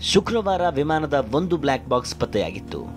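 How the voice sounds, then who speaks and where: Male news narrator over loud music. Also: a little high, sing-song, normal speed. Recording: loud music bed behind voice. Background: prominent news background music.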